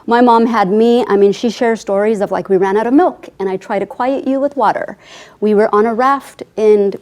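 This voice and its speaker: A woman's voice: mid-range voice, moderate pace, darkish tone. A woman in her forties, born in Afghanistan with Farsi as her first language, earnestly telling her life story as an author guest. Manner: earnest, heartfelt, often says "I mean". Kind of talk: interview storytelling.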